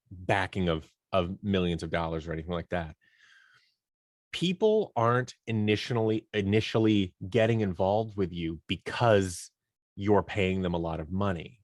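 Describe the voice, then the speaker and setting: A man's voice: low-pitched, moderate pace, darkish tone, no echo, clean studio sound. A talkative man speaking as an interview guest, explaining from experience and voicing imagined bits of dialogue in a conversational way. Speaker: interview guest, audio drama producer and entrepreneur.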